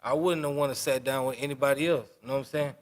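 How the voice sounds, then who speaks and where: A man's voice: medium pitch, fairly even intonation, quick pace. A man in his twenties hosting a podcast, talking loosely in street slang and often asking "you know what I'm saying". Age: 20s. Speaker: podcast host. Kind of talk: casual interview.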